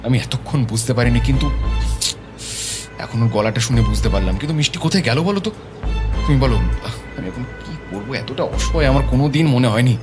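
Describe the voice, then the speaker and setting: A man's voice: medium pitch, lively intonation, fairly quick, music in the background, close to an everyday voice. A man acting a troubled, earnest male private detective in an audio story, speaking with urgency. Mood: anguished, helpless.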